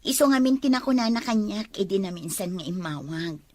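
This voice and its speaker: A Filipino woman playing a soft-hearted, lovestruck young woman in an audio drama, speaking in an earnest, pleading way. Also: mid-range voice, expressive, fairly quick, close to an everyday voice. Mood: defensive, pleading. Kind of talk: emotive audio drama acting.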